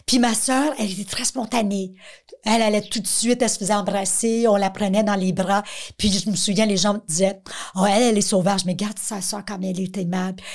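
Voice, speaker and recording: A woman's voice: medium pitch, steady tone, fairly quick, a little mellow. A middle-aged woman with a Quebec French accent, speaking as an interview guest and explaining advice through everyday examples. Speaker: guest, director of a missing-children organisation.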